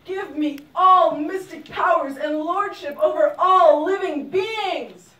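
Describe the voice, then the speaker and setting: A woman's voice: very high voice, takes it slowly, bright, forceful tone, full character voice. A woman voicing an imperious demon king in a puppet show, pleading formally in a declamatory way. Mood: demanding. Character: demon king begging a god for immortality, demanding, imperious. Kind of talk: puppet show storytelling, theatrical. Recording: roomy echo, distant microphone.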